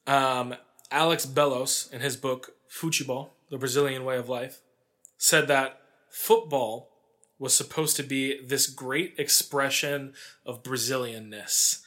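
A man's voice: medium pitch, steady tone, unhurried, pushed and bright. A man hosting a podcast, telling sports history in a casual, conversational way with wry asides. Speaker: podcast host. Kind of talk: casual storytelling about sports history, part scripted.

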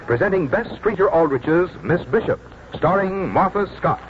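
A man's voice: high voice, lively intonation, moderate pace, heavy background noise. An American man reading a scripted sponsor announcement as a 1940s radio announcer. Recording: muffled 1940s radio recording.

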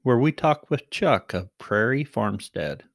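Podcast host, man, animated pitch, dry close sound, upbeat intros. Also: unhurried, no echo. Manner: friendly, frequent "oh, yes" and laughs. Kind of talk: interview hosting.

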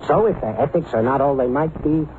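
Actor as wry racetrack bookie, vintage radio. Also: male voice, a little high, fairly quick, lightly acted. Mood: cynical. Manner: dry, wisecracking. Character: wry, cynical male racetrack bookmaker. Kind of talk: old-time radio drama acting. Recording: narrowband old radio recording, faint hiss.

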